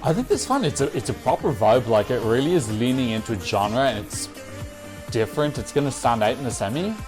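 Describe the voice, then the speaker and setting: A man's voice: mid-range voice, very animated, a little fast. A man hosting a Eurovision reaction video, giving chatty, opinionated commentary and correcting himself as he goes. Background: faint music from the songs being reacted to.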